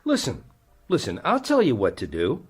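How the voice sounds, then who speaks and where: An actor playing a practical, reassuring husband and friend, conversational and placating, in a naturalistic radio drama. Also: male voice, medium pitch, huge pitch swings, normal speed, breathy edge. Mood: reassuring, firm.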